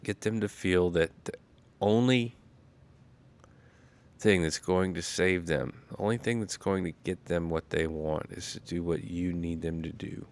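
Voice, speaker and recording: A man hosting a casual solo livestream, talking in a slow, rambling way with many fillers, a little congested. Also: low-pitched, steady tone. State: congested after illness. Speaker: livestream host.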